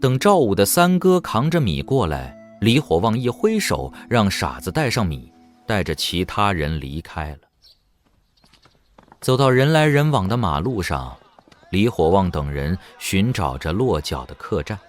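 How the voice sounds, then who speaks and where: Male audio drama narrator, lowish register, animated intonation, light music underneath. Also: a little slow. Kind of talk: scripted dramatic story narration.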